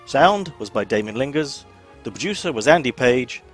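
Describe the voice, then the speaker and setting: A man's voice: mid-range voice, animated, normal speed, faint music behind. A man reading scripted cast credits as an announcer.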